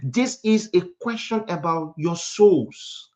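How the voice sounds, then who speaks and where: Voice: mid-range voice, lively intonation, slow pace, dark tone. A speaker from Africa preaching a motivational sermon in an emphatic manner, repeating phrases and posing rhetorical questions.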